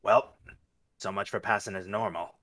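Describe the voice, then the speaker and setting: A man's voice: on the low side, steady tone, normal speed, a bit bright, no echo, voice pushed down, lightly acted. A man reading the part of a wry, tough superhero in a film script read-through. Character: gruff male superhero warning off attackers, wry and tough.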